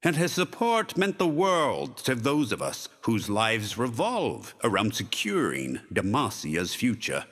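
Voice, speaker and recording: An actor playing a pompous, insincere zealot leader giving an oratorical eulogy in an audio drama, deliberate and unctuous, in long reverb. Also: male voice, mid-range voice, huge pitch swings, a bit bright, full character voice. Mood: smug, oratorical.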